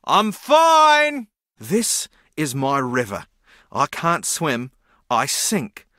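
An actor playing a slow-witted, good-natured ex-rugby player in a video game, earnest, literal and befuddled. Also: male voice, on the high side, huge pitch swings, bright, forceful tone, no room sound, studio quality, exaggerated voice. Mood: straining, calling out.

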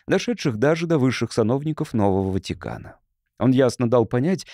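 A man's voice: medium pitch, darkish tone, dry recording, clean studio sound. A man reading literary prose as a scripted audiobook narrator.

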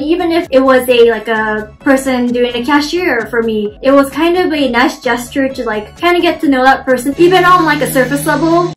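A woman's voice: high voice, fairly bright tone, low-quality recording. A young American woman from Florida chatting to camera in her small bedroom, casual and self-deprecating, with lots of "like" fillers. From Florida, USA. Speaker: vlogger. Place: small bedroom apartment, indoors. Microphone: camera in front at desk distance.

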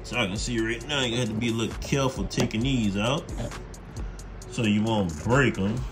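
A man's voice: lowish voice, moderate pace. An American man giving a casual hands-on how-to, folksy and thinking aloud as he works. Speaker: host, car mechanic. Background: music.

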